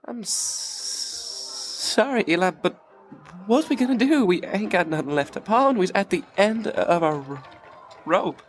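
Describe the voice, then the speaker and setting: A man playing a meek, dim-witted younger outlaw brother in a western audio drama, halting and stammering, with a rural American accent. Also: sits high, animated, faint music behind. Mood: apologetic, meek.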